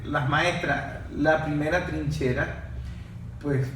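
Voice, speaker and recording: A man's voice: a little high, fairly even intonation, slow pace, a bit bright, slight background noise. A man hosting a casual panel talk, reflecting and building his points step by step. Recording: echoey room, far from mic.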